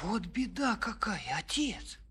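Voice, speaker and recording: An actor playing a good-natured, carefree young peasant son in a children's audio play, speaking in a cheerful, easygoing way. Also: male voice, sits high, big pitch swings, takes it slowly, pushed and bright, husky, full character voice.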